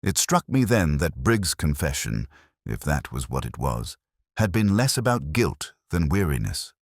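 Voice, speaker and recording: A man narrating a scripted first-person detective story in a measured, brooding, literary manner. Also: very deep voice, big pitch swings, no echo, clean studio sound.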